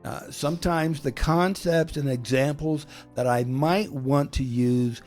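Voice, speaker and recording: A man, a pastor, speaking in measured phrases and pausing so his words can be interpreted. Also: a little high, darkish tone, faint music behind. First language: English. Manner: slow, measured, with pauses for interpretation. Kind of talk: bilingual sermon-style talk.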